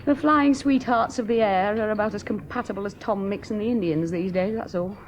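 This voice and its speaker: An actress playing a woman aviator worn down by debt and a failing marriage, speaking in a brittle, sharp-tongued way that sinks into quiet despair. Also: mid-range voice, a little fast, a little hiss or hum, natural voice. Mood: weary. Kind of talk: 1970s radio drama acting.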